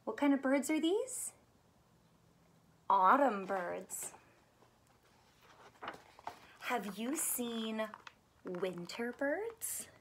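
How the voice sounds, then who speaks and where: A woman in her thirties reading a picture book aloud to children in an expressive, sing-song, teacherly way. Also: on the high side. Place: small furnished room indoors.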